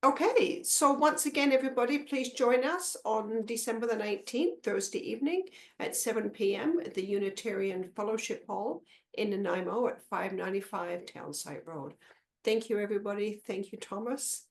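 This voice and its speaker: A woman hosting an interview, warmly effusive with praise. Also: mid-range voice, normal speed, vocal fry. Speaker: podcast host.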